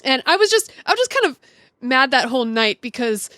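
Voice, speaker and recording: Bright, high-voiced woman. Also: big pitch swings, a little fast, dry recording, studio quality. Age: 30s. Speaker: podcast co-host. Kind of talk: casual chat.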